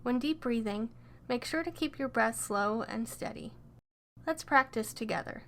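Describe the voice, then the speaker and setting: A woman narrating a scripted guided meditation in a gentle, soothing and encouraging way. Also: fairly high pitch, normal speed.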